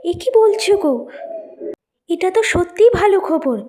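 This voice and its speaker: A woman voicing a dutiful, gentle young wife in a cartoon, eager and respectful. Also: extremely high pitch, expressive, measured pace, voice pushed up. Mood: attentive, pleased.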